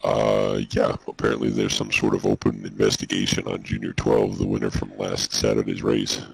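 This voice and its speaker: A man's voice: completely flat delivery, normal speed, no echo, heavily acted, rough recording. A man voicing a dry, skeptical male robot co-host who takes things literally, in a comedic in-character podcast. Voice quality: very deep gravelly voice.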